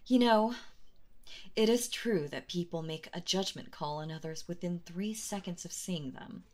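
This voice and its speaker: A woman playing a calm, cold, commanding and flirtatious female boss in a hushed, deliberate ASMR roleplay. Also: on the low side, close to an everyday voice. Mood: coolly flirtatious. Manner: deliberate, hushed, possessive, with terms of endearment. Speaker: voice actress. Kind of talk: ASMR roleplay, intimate scripted monologue to the listener.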